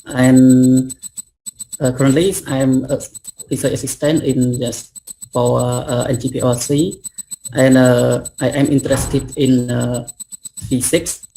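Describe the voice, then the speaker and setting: A man from Cambodia, a physics teacher and mentor, introducing himself haltingly on a video call. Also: mid-range voice, flat delivery, creaky, mellow, poor audio. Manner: hesitant, many uh fillers.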